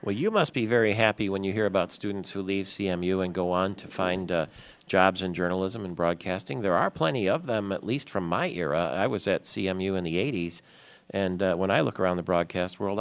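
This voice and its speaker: Deep-voiced male radio host. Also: expressive, fairly quick. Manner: chatty, friendly, stumbling restarts. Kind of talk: radio interview.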